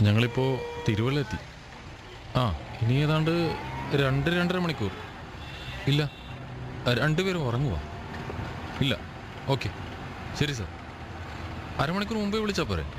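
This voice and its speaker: A man playing a dutiful, sympathetic escort officer with a measured, hesitant manner in a radio drama. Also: medium pitch, sing-song, background noise. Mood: businesslike.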